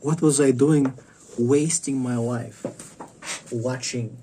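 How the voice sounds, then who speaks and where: A man with a raspy Bulgarian accent and a scratchy throat, ranting bluntly and provocatively in a casual monologue, coughing and repeating himself. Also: mid-range voice, fairly even intonation, slow, mellow. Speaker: wrestling commentator vlogger. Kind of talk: casual opinionated rant.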